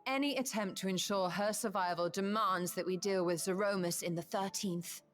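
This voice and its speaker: A woman playing a composed, resolute sorceress and scholar in a fantasy video game, measured and formal. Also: medium pitch, fairly even intonation, close to an everyday voice. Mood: grave, analytical. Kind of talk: dramatic video game acting. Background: game music.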